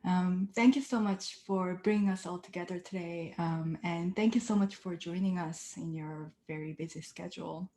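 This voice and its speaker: A woman from South Korea, a sociology professor, speaks as a panelist in an earnest, reflective way with soft hesitations. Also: lowish voice, fairly even intonation, normal speed, hardly any pauses. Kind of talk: panel remarks. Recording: roomy echo.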